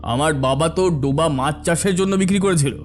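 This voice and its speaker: A man's voice: on the high side, brisk, soft background music, natural voice. A man playing a stern, indignant villager making challenges in a cartoon. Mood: indignant, challenging. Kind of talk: cartoon voice acting.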